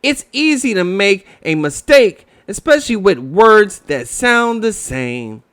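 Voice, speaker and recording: Man voicing a kind, high-pitched storybook boy. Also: sing-song, unhurried, fairly bright tone, smooth, exaggerated voice. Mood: kind, reassuring. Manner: kindly, reassuring. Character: kind, patient young boy.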